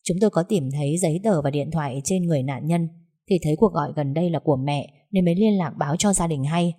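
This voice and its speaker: A woman's voice: deep voice, quick pace, mellow. A woman voicing a young female hospital caller who speaks politely and formally.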